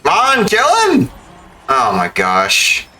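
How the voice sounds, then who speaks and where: A man's voice: a little high, huge pitch swings, takes it slowly, pushed and bright. A man giving casual live gameplay commentary, exclaiming and mildly swearing at the game as he talks to it. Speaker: video game streamer. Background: video game music.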